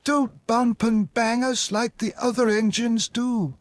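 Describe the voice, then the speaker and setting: A man voicing fussy, timid railway coaches in a high, delicate, pleading voice. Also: steady tone, slow pace, dry recording.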